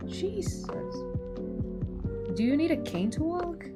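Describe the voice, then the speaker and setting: A woman's voice: very high-pitched, sing-song, slow pace, over loud music. A woman in her twenties chatting casually with her livestream viewers, teasing and full of filler words. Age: 20s. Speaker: animator streaming to chat.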